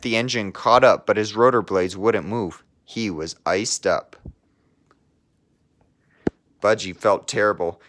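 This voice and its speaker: A man reading a children's storybook aloud like a teacher, in measured narration with casual asides. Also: lowish voice, a bit bright.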